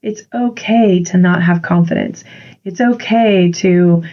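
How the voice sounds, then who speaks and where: A woman's voice: on the low side, takes it slowly. A woman with a congested voice hosting a solo podcast, speaking earnestly and encouragingly as a confidence coach. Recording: dull lo-fi recording.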